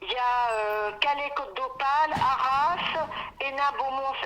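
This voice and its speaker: High, bright-voiced woman on a helpline. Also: fairly even intonation, a little slow, soft background music. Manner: insistent, repeats questions. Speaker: helpline volunteer. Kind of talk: phone helpline conversation. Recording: voice over a telephone line.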